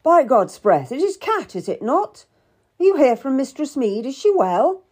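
A woman's voice: fairly high pitch, very animated, unhurried, a little mellow, voice pushed up, heavily acted. A woman voicing a brusque, condescending, calculating Tudor nobleman in a dramatised audiobook. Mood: surprised, imperious. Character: curt, haughty Tudor nobleman, busy and calculating.

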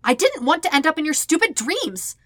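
Woman as volatile teenage boy, raised pitch, blunt, harsh, indignant, storybook drama, dry recording. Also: sing-song, brisk, no echo. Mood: indignant, shouting. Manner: snapping, loud, harsh, high-strung.

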